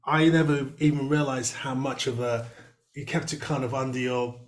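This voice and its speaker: A man's voice: mid-range voice, fairly even intonation, normal speed. Chatty male host on a video call, rambling delivery, room echo. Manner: chatty, rambling with many fillers. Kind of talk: casual video-call interview.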